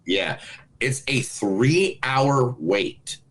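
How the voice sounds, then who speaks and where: A man's voice: medium pitch, lively intonation, extremely slow. A man co-hosting a movie podcast, chatting loosely and reading a sponsor ad in a laid-back, rambling way. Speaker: podcast co-host. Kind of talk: casual chat and read sponsor ad.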